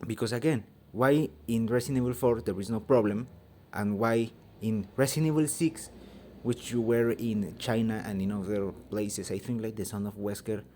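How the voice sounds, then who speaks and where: Man, Mexican Spanish accent, low, warm tone, hesitant, restarts, heated opinion talk, phone mic. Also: fairly even intonation, moderate pace, slight background noise. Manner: hesitant, many fillers and restarts, grows heated. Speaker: vlogger giving an opinion. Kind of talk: casual opinion monologue. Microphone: phone held by hand.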